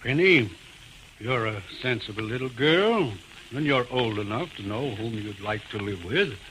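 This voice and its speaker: A man's voice: mid-range voice, expressive, unhurried, natural voice. An actor playing a kindly, authoritative male judge in an old-time radio drama. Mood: patient, solemn. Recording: old radio recording, clear background noise.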